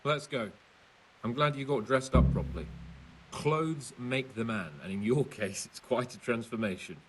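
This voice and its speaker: A man's voice: mid-range voice, normal speed, recorded from a distance, a little hiss or hum, natural voice, poor audio. An actor playing a cocky, vain young nobleman in a medieval video game, teasing and condescending. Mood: smug teasing. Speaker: young lord.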